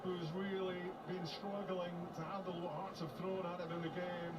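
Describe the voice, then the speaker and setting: A man doing live play-by-play football commentary on a TV broadcast, calling the play in a measured way. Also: high-pitched, little pitch movement. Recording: TV match broadcast with loud background noise.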